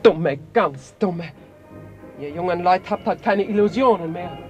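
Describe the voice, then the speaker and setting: An insinuating older gentleman in a radio play. He coaxes slyly, music clearly behind him. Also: high-pitched, a little slow. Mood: coaxing, nostalgic. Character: insinuating older man, nostalgic for prewar uniforms.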